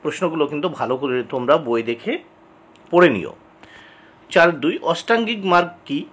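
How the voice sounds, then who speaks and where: A man giving an instructional exam lesson, reading out questions and answers deliberately, repeating key points and addressing students directly. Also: on the high side, lively intonation, fairly bright tone, husky. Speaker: exam tutor.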